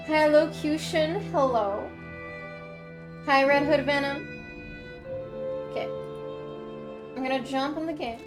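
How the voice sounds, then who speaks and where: A high-voiced woman with a Quebec French accent chats loosely with her stream viewers, trailing off and laughing as she goes. Plain game music plays clearly behind her throughout. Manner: chatty, rambling, laughs often, thanks viewers. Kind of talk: casual chat with viewers.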